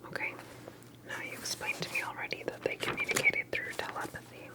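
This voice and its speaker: A woman speaking in a soft, breathy whisper, gently and carefully asking questions in an ASMR interview roleplay. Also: a little fast.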